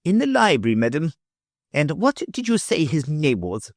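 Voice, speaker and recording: Male reader as a sly, smooth official who soothes and schemes. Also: on the high side, sing-song, moderate pace, no room sound, studio quality, pitched above the performer's own voice. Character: smooth crafty middle-aged male official. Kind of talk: audiobook character reading.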